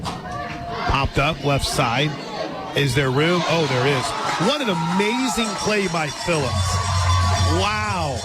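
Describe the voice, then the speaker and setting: A man calling a softball game live on the radio, mixing pitch-by-pitch play-by-play with conversational asides. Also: slow pace. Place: stadium radio booth at an outdoor ballpark. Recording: loud crowd and music behind. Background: loud ballpark crowd and music.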